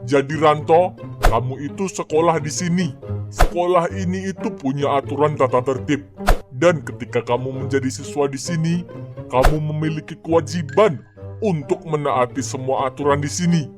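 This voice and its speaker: A man's voice: fairly high pitch, normal speed, music in the background, natural voice. A man playing a stern male schoolteacher in a cartoon drama, lecturing in a formal, condescending way. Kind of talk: animated cartoon drama dialogue.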